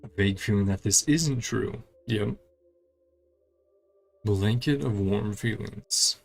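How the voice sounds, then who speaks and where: Man, low creaky voice, casual game playthrough host, clipped phrases, murmured reactions, music underneath. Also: slow pace. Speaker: gaming channel host. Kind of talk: casual game playthrough commentary, reading dialogue along.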